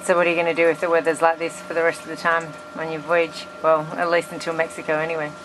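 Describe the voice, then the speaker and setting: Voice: completely flat delivery, fast. A person recording a casual, informal video diary and putting questions to crewmates inside a small boat. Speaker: crew member filming and interviewing crewmates. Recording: noisy boat cabin with engine rumble.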